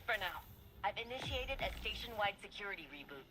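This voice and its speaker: An actress playing a brisk, capable female mission operator giving businesslike instructions in a video game. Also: mid-range voice, expressive, music in the background, lightly acted. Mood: urgent, commanding. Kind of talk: game mission briefing acting.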